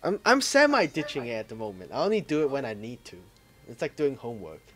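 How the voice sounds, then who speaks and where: A man co-hosting a casual gaming podcast, relaxed and offhand, swearing casually and trailing off while he hunts for words. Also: medium pitch, fast, a crowd in the background. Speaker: podcast co-host.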